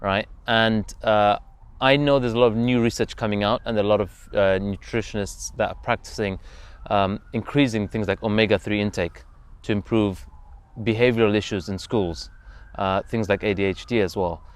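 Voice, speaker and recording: A man's voice: fairly low pitch, steady tone, normal speed, clean tone. A man from Zambia hosting a casual interview, speaking in an agreeable, affirming way as he shares thoughts and leads into questions.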